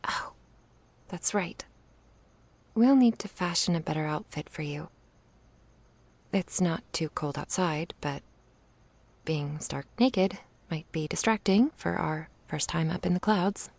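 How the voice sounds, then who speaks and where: A woman playing an affectionate young witch in an intimate ASMR roleplay, speaking softly, tenderly and playfully, often in a whisper. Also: fairly low pitch, slow pace, pauses often, dry recording, exaggerated voice. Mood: playful, doting. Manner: soft, breathy, tender murmuring with little hums.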